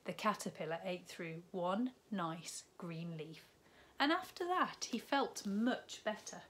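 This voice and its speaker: A woman in her thirties reads a picture book to young children at a slow, unhurried pace. Her voice sits a little low, and she lifts it brightly on key words. The webcam recording carries a faint background hiss. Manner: slow, expressive storytime reading with lively rises on key words. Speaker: primary school teacher. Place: living room at home, indoors.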